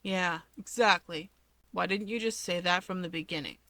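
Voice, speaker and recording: Woman doing haughty grumpy older brother in a story, blunt and dry, bright tone. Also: fairly low pitch, normal speed, dry recording. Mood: insistent.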